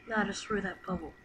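A woman improvises dramatic roleplay for a gaming video in a halting, unhurried way. Her voice sits at a middling pitch. Clear noise and clicking run through the recording. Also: pushed and bright. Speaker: roleplay video creator voicing the characters.

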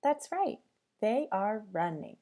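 Female teacher for children's read-aloud: mellow tone, lively sing-song intonation, addresses kids directly with questions. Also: medium pitch, takes it slowly. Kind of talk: interactive storybook read-aloud for young children.

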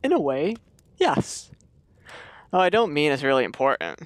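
A man's voice: fairly high pitch, very animated, moderate pace, dry recording. A man playing an earnest, uneasy suburban husband in a radio play, talking in a hesitant, conversational way. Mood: uneasy probing.

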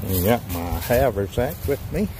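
A man's voice: lowish voice, lively intonation, normal speed. A middle-aged man, chatting casually and folksily in a rambling outdoor vlog. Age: middle-aged, 50s. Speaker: vlogger, truck driver. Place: outdoors at a highway rest area. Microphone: handheld phone or camera at arm's length. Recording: outdoor handheld recording with clear background noise.

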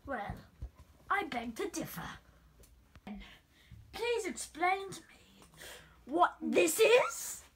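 High-voiced young woman, melodramatic, noisy handheld audio. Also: big pitch swings, extremely slow, pauses often. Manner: playfully melodramatic. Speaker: vlog co-host. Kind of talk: improvised mock-horror found-footage skit. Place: dim carpeted home basement. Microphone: handheld camera. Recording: noisy handheld camera in basement.

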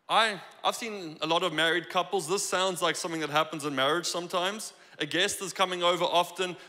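A young man with a South African accent preaching a joking, animated sermon on a church stage into a handheld mic. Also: high voice. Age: young adult. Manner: joking, animated, gestures freely while preaching. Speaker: guest preacher. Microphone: handheld microphone. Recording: long hall reverb.

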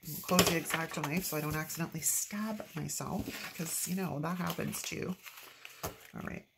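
Woman, congested nose, low pitch, gravelly fry, casual chatty narration. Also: fairly even intonation, moderate pace. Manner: chatty, talks to herself while working. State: stuffy nose from allergies. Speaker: planner decorating vlogger. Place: at a craft table, filmed from overhead. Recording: noticeable background noise.

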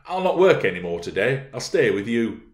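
A man voicing a sullen, resentful teenage boy with a gruff, insistent manner in an audiobook. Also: mid-range voice, sing-song, a little fast, roomy sound, voice pushed up, close to an everyday voice. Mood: defiant. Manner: morose, insistent, agitated. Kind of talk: audiobook character voice.

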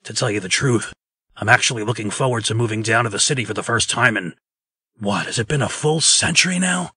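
A man's voice: lowish voice, brisk, pushed and bright, breathy edge, no room sound, clean studio sound. A man voicing an agreeable, plain-spoken fairy-tale craftsman in a comic dub, earnest and deferential. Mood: resigned, agreeable.

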